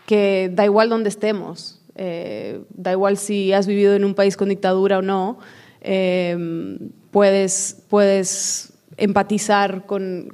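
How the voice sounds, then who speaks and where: A woman on a conference panel talks at an unhurried pace. She is thoughtful and hesitant, often pausing with small fillers as she gathers her ideas. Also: medium pitch, a little mellow. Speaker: panelist and moderator. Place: conference hall with audience.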